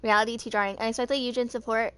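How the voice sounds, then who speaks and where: A woman in her mid twenties with a fairly high voice reads lore aloud as an amateur narrator. Her delivery is halting, and she trips on words and goes back over them. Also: flat delivery, a bit bright, clear, resonant tone, soft background music. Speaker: video creator reading game character lore.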